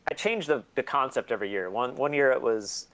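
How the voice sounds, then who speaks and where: A man chatting casually as an interview guest, blunt, self-deprecating and quick to swear. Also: medium pitch, a bit bright, rough recording. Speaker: interview guest, museum and record shop owner. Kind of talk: casual chat.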